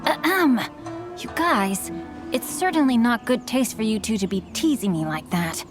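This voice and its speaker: A man's voice: extremely high pitch, big pitch swings, moderate pace. A man voicing a courteous young male swordsman and novelist who speaks formally and politely, in a game. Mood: embarrassed. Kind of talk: game voice acting. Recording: game cutscene with music underneath. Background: game music.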